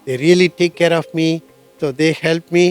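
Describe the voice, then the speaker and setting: An earnest man with a slightly raised voice, talking in plain phrases and often restarting mid-thought. Soft music plays in the background. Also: a little high, fairly even intonation, moderate pace, clear, resonant tone. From India. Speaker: interview guest, motorcycle traveler. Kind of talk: conversational interview answers.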